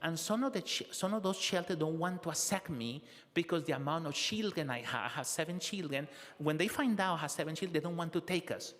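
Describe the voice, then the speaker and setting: A man interpreting testimony in the first person, halting and filling pauses with "eh" and "huh?", at a city council hearing. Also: on the high side, a bit bright, big-room sound. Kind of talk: consecutive interpretation of public testimony.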